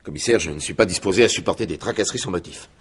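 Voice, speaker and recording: Haughty, very deep-voiced company director. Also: male voice, husky. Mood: indignant. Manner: formal, disdainful, measured with hesitations. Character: haughty, indignant male company director under questioning. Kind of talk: 1950s-style French radio crime drama acting.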